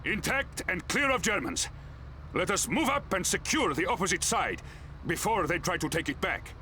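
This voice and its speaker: A man's voice: high-pitched, big pitch swings, moderate pace, sharp tone, slight background noise, full character voice. An actor playing a determined Soviet field officer, urgently commanding his comrades in a war video game. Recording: game audio under music and gunfire.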